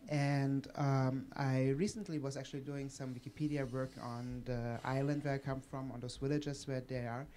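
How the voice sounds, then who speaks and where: A man's voice: mid-range voice, flat delivery, moderate pace, hardly any pauses, warm tone, slight background noise. A man in his thirties from Croatia, telling a personal example on a conference panel through a table microphone. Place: conference room with audience.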